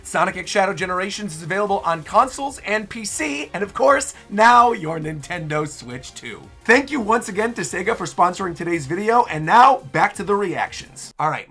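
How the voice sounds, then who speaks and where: A man hosting a reaction video, chatting casually and excitably as he comments. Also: high voice, moderate pace, hardly any pauses, faint music behind.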